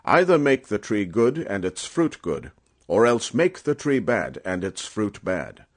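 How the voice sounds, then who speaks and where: Male audiobook narrator, lowish pitch, very animated intonation, dramatic scripture reading, solemn, measured, emphatic.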